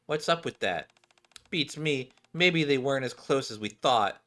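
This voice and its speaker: A gossiping schoolgirl in a video game, read out by a man at mid pitch. She is nosy and casual, full of chatter. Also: expressive, unhurried, fairly bright tone. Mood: irritated, gossipy.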